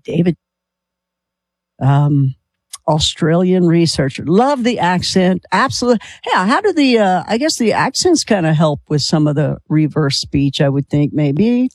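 A woman's voice: lowish voice, animated, measured pace, a little mellow, no room sound. An American woman hosting a casual late-night radio interview, chatty and teasing with her guest. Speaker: radio talk show host.

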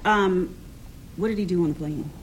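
A man's voice: high-pitched, normal speed, slight background noise, rough recording. A man asking informal questions as a radio reporter in an interview.

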